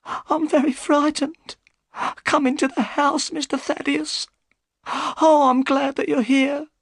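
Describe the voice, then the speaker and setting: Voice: level tone, takes it slowly, dry recording, studio quality. A reader putting on a frightened old housekeeper. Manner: pleading, high and trembling.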